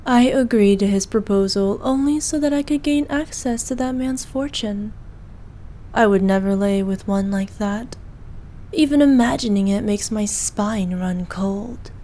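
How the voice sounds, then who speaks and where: A woman playing a regal, possessive evil queen who dotes on her knight in an intimate ASMR roleplay, speaking formally and softly, at times in breathy whispers. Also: medium pitch, natural voice. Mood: scornful, scheming. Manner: regal, slow, archaic formal speech.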